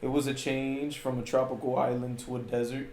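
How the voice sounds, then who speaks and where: A man's voice: medium pitch, level tone, brisk, crowd noise. A young man from Puerto Rico chatting casually as a podcast guest. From Puerto Rico, later Arizona. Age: late teens to early 20s. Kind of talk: casual chat. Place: indoors, at a home. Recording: roomy echo, faint background noise.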